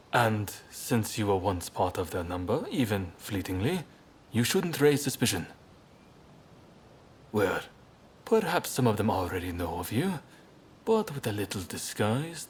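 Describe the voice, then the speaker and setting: An actor playing a composed, shrewd samurai spymaster with a polished, persuasive manner in a game. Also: male voice, lowish voice, slight background noise, lightly acted. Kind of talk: video game cutscene acting.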